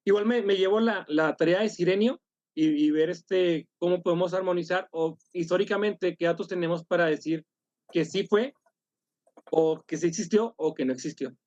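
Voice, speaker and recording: A man leading an online study workshop, speaking haltingly, correcting himself and apologizing after coughs. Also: sits high, darkish tone, no room sound, muffled recording. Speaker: workshop leader.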